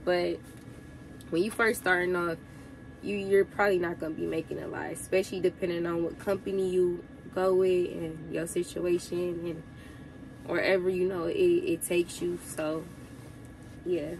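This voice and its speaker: A young woman truck driver giving casual advice to her viewers, sounding congested from a cold, chatty and relaxed. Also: fairly low pitch, moderate pace, creaky, slight background noise, rough recording.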